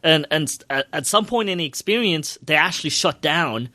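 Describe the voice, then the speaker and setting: A man's voice: on the high side, animated, brisk, sharp tone, no room sound. A man chatting casually as a podcast co-host, enthusiastically recounting an experience in a rambling way, often repeating his words.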